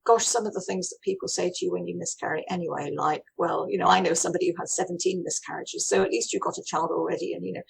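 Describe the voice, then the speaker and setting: A woman's voice: fairly low pitch, steady tone, quick pace, vocal fry, hardly any pauses, breathy edge, rough recording. A woman talking conversationally as an interviewee, feeling her way through phrases and voicing what other people say. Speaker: charity director being interviewed.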